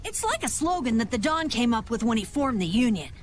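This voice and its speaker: A woman's voice: on the high side, very animated, a little fast. A woman voicing a plucky, inquisitive youngster in a video game, eager and questioning. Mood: curious, eager.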